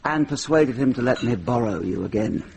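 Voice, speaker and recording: A genial deputy sheriff with a very animated, mid-range voice. He banters wryly in a medieval radio play, with noticeable noise behind him. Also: mid-range voice, normal speed, gravelly. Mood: wry, businesslike. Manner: wry, bantering. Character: genial, witty male deputy sheriff, wry friend. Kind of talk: radio drama dialogue. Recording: noisy vintage radio drama recording.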